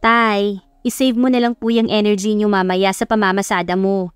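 A woman's voice: mid-range voice, flat delivery, moderate pace, smooth, dry recording, faint music behind, natural voice. A woman with a Filipino accent voicing a dutiful, playful young college woman, polite and affectionate, in an audio story. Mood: gently insisting.

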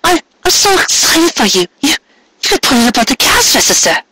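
A man voicing a sweet, affectionate female cartoon pony in a small, hushed, tender voice. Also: extremely high pitch, sing-song, fairly quick, voice pushed up, full character voice. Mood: excited, hushed. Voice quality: little, breathy, hushed voice.

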